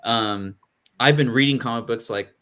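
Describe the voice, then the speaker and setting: A man's voice: medium pitch, normal speed, sharp tone. A man hosting a live podcast panel, talking loosely with lots of fillers and restarts. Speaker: podcast host. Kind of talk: casual live panel chat.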